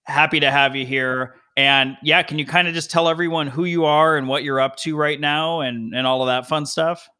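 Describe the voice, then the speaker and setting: Male podcast host, upbeat and chatty, bright forceful tone. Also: a little high, steady tone, moderate pace, hardly any pauses, clear, resonant tone. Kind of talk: casual interview chat.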